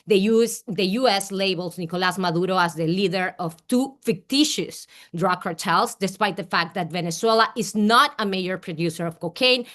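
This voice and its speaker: A woman's voice: mid-range voice, fairly bright tone. Earnest woman from Peru giving a speech. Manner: earnest, deliberate, with small restarts. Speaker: rally speaker. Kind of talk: prepared political speech. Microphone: remote video call.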